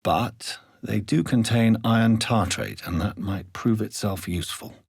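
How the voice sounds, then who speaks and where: Deep, husky-voiced brooding vampire doctor. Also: male voice, dry recording, clean studio sound, heavily acted. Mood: analytical, grave. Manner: formal, measured, articulate. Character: brooding, courteous male doctor turned vampire, earnest and refined. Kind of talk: dramatic video game voice acting.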